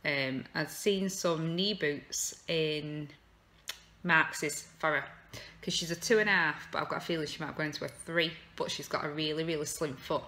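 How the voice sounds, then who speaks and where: A woman's voice: low voice, steady tone, moderate pace, pushed and bright. A woman in her thirties with an English accent, chatting casually at home in a vlog with frequent ums as she rambles on, filmed by a camera held at arm's length. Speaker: vlogger. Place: living room sofa at home.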